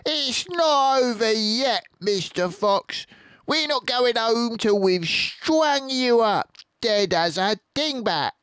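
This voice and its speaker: A man reading a storybook aloud and voicing a cruel, cunning male farmer with loud, sneering menace. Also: very high voice, big pitch swings, slow, no room sound, voice pushed up, full character voice. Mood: menacing.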